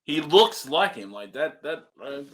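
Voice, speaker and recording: A man's voice: on the high side, sing-song, unhurried, a bit bright. A man co-hosting a casual film review chat, thinking aloud a little hesitantly. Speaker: podcast co-host and film reviewer.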